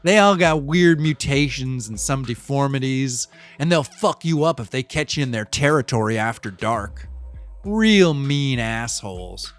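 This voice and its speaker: A man's voice: medium pitch, lively intonation, measured pace, soft background music, natural voice. A man playing a cynical streetwise city cyclist. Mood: wry. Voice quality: slangy, profane street talk. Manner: rambling, conspiratorial, shifts between wry and fired-up. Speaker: local bike courier interviewed. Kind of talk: audio drama monologue.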